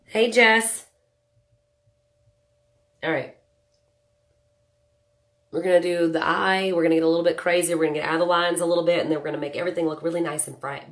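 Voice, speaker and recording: A woman's voice: fairly low pitch, steady tone, a little fast, long pauses. A woman in her thirties with a nasal voice from a cold, chatting casually with viewers while doing her makeup at home. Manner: chatty, joking, greets viewers by name. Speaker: makeup livestream host. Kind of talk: casual live makeup tutorial chat. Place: small home room indoors.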